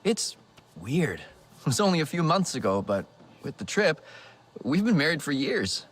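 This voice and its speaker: An actor playing a good-natured, talkative human builder in a video game, full of enthusiasm. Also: male voice, fairly high pitch, unhurried, slightly rough, heavily acted. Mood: fond. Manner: talkative, animated. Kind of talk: video game dialogue acting.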